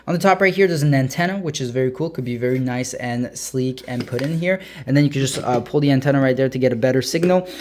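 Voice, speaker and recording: A man giving a casual hands-on product review as a video host, explaining informally with frequent hesitant uh pauses. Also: medium pitch, darkish tone, a little hiss or hum.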